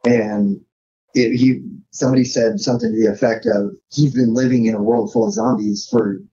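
Husky, dark-toned man on dull audio. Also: mid-range voice, animated, normal speed. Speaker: podcast guest. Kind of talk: casual chat.

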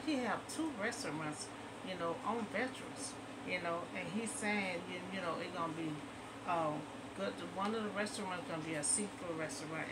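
A woman's voice: on the low side, very noisy. A middle-aged woman talks casually to a webcam in her bedroom about local news, rambling and filling her sentences with "you know.". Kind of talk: casual commentary to camera. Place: bedroom at home, indoors. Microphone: webcam in front of her.

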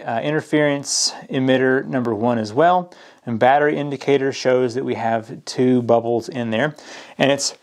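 Regular guy doing a hands on product demo, medium pitch, explains stuff plainly step by step. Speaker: product reviewer. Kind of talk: casual hands-on product walkthrough. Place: indoors at a work table.

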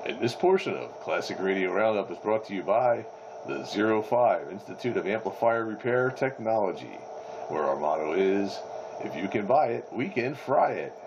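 A man with a deep voice trades wry, casual banter over two-way radio. The audio is dull and carries clear static behind him. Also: big pitch swings, moderate pace. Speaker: radio operator on a CB net.

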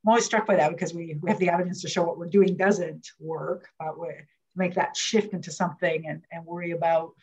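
Host, friendly moderator, conversational, mid pitch, slightly husky, video call. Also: steady tone, fairly quick, darkish tone. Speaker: host, councillor. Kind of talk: moderating a town hall.

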